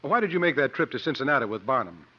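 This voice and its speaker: A man's voice: mid-range voice, very animated, racing, breathy edge. An actor playing a confident, articulate travelling strongman and sleuth in a 1950s radio drama, with formal theatrical phrasing. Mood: assured, probing. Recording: muffled vintage 1950s radio recording.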